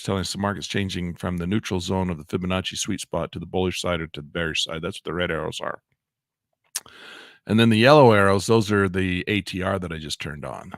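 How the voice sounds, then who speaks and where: A man's voice: deep voice, vocal fry, dry recording, studio quality. A man hosting a live trading session, rambling and correcting himself as he talks through charts, his voice congested from allergies and a cold. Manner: rambling, self-correcting, excited about the market. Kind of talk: live screen-share trading commentary.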